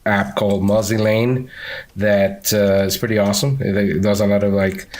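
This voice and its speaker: A man with a Venezuelan accent, a guest in a casual podcast interview, talking in an animated way with many fillers and restarts. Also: on the low side, normal speed. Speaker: podcast guest, instructional designer.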